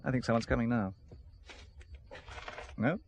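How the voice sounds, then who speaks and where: A man's voice: medium pitch, unhurried, gravelly, lo-fi sound, noisy background. An actor playing a dry, stubborn married man turned amateur sleuth in an audio spy drama, with a wry, clipped delivery. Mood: wry, curious.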